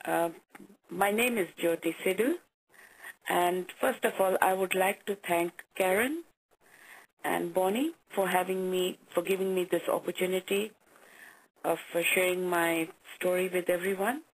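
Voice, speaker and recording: A woman from Kenya telling her own story as a guest on a business call, pausing often with hesitations. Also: fairly low pitch, low-quality recording. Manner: hesitant, with frequent "uh" pauses. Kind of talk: personal story.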